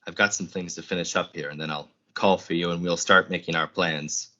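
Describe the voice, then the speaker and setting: Young man playing a troubled boyfriend in a vintage radio suspense show, super deep, urgent, repeats himself, muffled audio. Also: steady tone, bright, forceful tone. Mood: reassuring, strained. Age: young adult. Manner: urgent, halting, repeating words. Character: troubled, secretive male lover, tender but cornered. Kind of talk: 1940s suspense radio drama acting. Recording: dull lo-fi audio.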